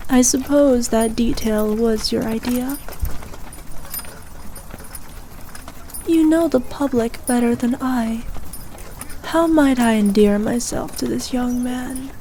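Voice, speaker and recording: A woman performs a haughty evil queen in an ASMR roleplay, speaking slowly in a fairly high, soft-edged voice. She is imperious and ruthless, yet indulgent toward her favoured knight. Some background noise sits behind her words. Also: lightly acted. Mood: pleased, indulgent. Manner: regal, imperious, measured and indulgent. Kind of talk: ASMR roleplay, scripted character acting.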